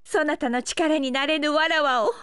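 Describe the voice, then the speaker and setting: A woman's voice: high voice, fairly even intonation, normal speed, a bit bright, no echo, studio quality. A woman voicing a haughty, lovestruck young pirate empress in an anime video game, with imperious, archaic regal diction.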